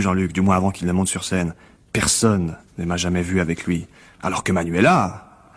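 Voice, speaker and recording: A man's voice: fairly low pitch, husky. A man acting in a French radio drama as a jealous, calculating rival, condescending, insinuating and smugly cruel.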